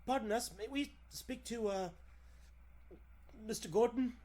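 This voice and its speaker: A man's voice: extremely high pitch, measured pace, darkish tone. A man playing a meek young reporter, hesitant, apologetic and stammering, in 1940s radio style. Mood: nervous, meek.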